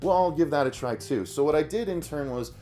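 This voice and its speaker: A man's voice: on the high side, fairly quick, mellow. A man co-hosting a review show, talking to viewers in a casual, conversational way. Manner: conversational, addresses audience as "you guys". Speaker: co-host of a horror review show.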